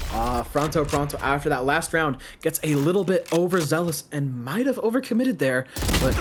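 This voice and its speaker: Male esports caster, fairly high voice, live game commentary, some noise and clicks behind.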